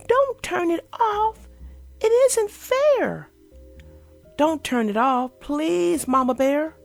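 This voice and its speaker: A woman voicing young bear cubs begging not to lose their TV, in a high pleading voice. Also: very animated, slow, vocal fry, soft background music, heavily acted.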